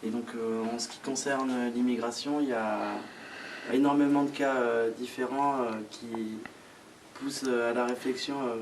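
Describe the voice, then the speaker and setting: A young man, a student answering informally in an interview, hesitant and pausing often. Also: medium pitch, steady tone. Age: young adult. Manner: hesitant, frequent pauses. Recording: distant mic, faint background noise.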